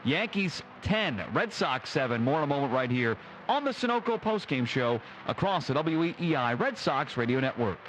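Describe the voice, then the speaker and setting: A man's voice: a little high, big pitch swings, moderate pace, a bit bright. A man hosting a live postgame baseball show on the radio. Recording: faintly noisy radio broadcast.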